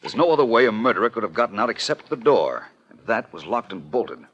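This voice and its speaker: An actor playing a suave, inquisitive amateur detective, polished and unruffled, in a 1940s radio drama. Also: male voice, lowish voice, very animated, fast talker, a bit bright, dull audio, a little hiss or hum. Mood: intrigued, alert. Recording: vintage 1940s radio recording.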